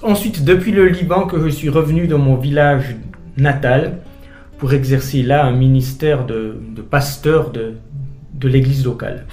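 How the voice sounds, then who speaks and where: A middle-aged man from Switzerland, a pastor being interviewed on the radio, speaking thoughtfully with frequent pauses and hesitations. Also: medium pitch, darkish tone, reverberant, soft background music.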